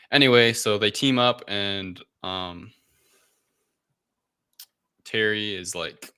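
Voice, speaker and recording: A man with a deep voice that has a sharp, very bright edge. He talks as a podcast host in a casual recap, improvising his retelling loosely and dropping in quoted asides. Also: clean tone, no echo, studio quality. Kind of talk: casual film recap chat.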